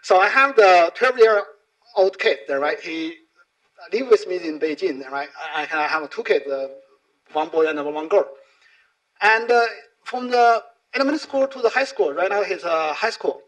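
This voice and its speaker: Male conference speaker, middle-aged, native Chinese with accented English; high voice, very lively intonation, informal explaining. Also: moderate pace, low-quality recording. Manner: non-native English with frequent tag questions.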